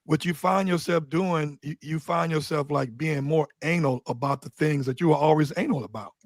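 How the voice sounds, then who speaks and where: A man's voice: on the high side, moderate pace, no echo. A man guesting on an interview, telling stories loosely and bluntly with street slang. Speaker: interview guest, Doberman breeder. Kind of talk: casual conversational interview.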